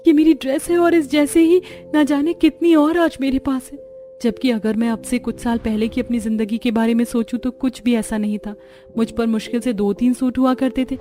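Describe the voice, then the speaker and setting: Woman playing a humble, high-voiced young daughter-in-law. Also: mellow, close to an everyday voice. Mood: grateful.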